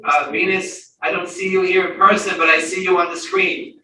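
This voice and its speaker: A man's voice: high-pitched, little pitch movement, normal speed, pushed and bright. A man chairing a public meeting, polite and welcoming as he calls on speakers, picked up from across the room. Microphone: room mic from a distance. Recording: echoey room, distant mic, faint noise.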